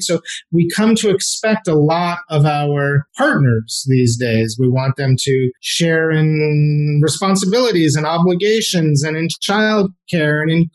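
A man with a somewhat high voice answers interview questions at length. He explains patiently and often repeats words and fills pauses as he thinks. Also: rough recording. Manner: thoughtful, explanatory, frequent repeated words and fillers. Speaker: sex therapist guest.

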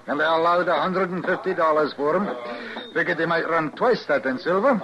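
A man's voice: fairly high pitch, a little fast, lightly acted. A man playing a droll, mournful, folksy frontier townsman in an old radio western. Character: glum, folksy, formal-spoken frontier townsman named Miles. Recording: dull vintage radio recording, crowd murmur.

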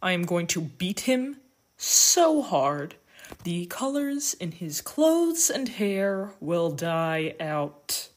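A scornful young female gym bully, acted by a woman for an audio drama. Her voice sits fairly low but swings around a lot. She drags her threats out, hostile and stubborn. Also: big pitch swings, very slow, full character voice. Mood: menacing. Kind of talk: amateur audio drama acting.

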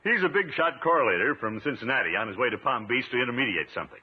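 A man playing a pompous, genial middle-aged uncle with hearty, drawn-out exclamations in a 1950s radio comedy. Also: medium pitch, lively intonation, dull audio, close to an everyday voice. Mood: contented. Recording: noisy 1950s radio broadcast recording.

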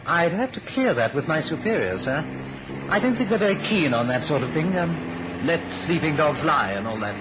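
A cautious, deferential British official with an educated accent. His medium-pitched voice moves up and down expressively as he frets. The old radio drama recording is dull, with clear background noise. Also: big pitch swings, a little fast. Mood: deferential, uneasy. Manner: proper, fussy, cautious. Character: polite, anxious, deferential British male intelligence official. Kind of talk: 1970s radio drama acting.

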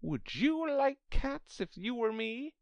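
A woman voicing a touchy, trembling male mouse in a children's storybook, shrill, passionate and deeply offended. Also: wildly swooping pitch, slow pace, creaky, dry recording, highs cut off, exaggerated voice. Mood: indignant.

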